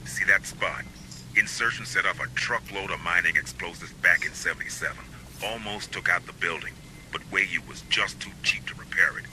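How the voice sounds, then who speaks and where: A man's voice: low-pitched, normal speed, pushed and bright, a little hiss or hum, poor audio. A man playing a hardened, wry military squad leader in a video game, speaking in a terse, commanding, dry way. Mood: calm, cynical. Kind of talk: video game cutscene acting.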